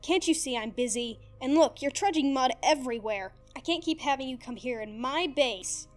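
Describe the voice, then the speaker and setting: Lady putting on a croaky alien dude, haughty and snappy, kinda high, faint music under it. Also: moderate pace, natural voice. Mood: irritated. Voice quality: croaky, hoarse. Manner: haughty, snarling, refers to himself by name. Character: proud, hot-tempered male alien invader.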